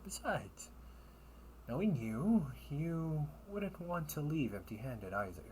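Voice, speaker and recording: A man's voice: on the high side, big pitch swings, darkish tone, slight background noise. A man acting a silky, scheming crime-family older brother. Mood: sly, challenging. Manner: silky, teasing, unhurried, theatrical. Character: smooth, scheming older brother, crime syndicate information broker. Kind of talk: audio roleplay acting.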